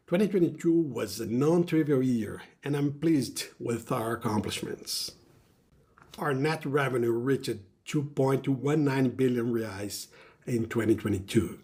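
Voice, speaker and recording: A man giving a scripted earnings call presentation as a company executive, in a formal, measured corporate manner. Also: medium pitch, a little mellow.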